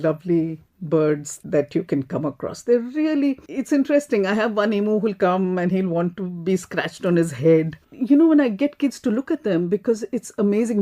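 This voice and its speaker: A woman's voice: medium pitch, warm tone. A woman with an Indian accent speaking reflectively and earnestly in a panel discussion, repeating phrases for emphasis. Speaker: animal rights activist, panelist.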